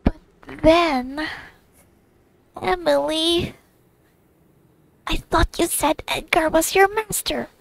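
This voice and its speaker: A woman's voice: very high voice, slow pace, creaky, long pauses. A woman giving casual game playthrough commentary, rambling and thinking aloud in fragments, close to the mic.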